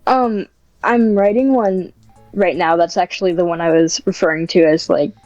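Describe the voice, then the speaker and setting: A woman's voice: on the low side, moderate pace, a little mellow, no room sound, lo-fi sound, faint music behind. A woman podcast guest chatting casually and hesitantly, thinking aloud as she answers. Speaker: podcast guest and script writer. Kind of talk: casual interview chat.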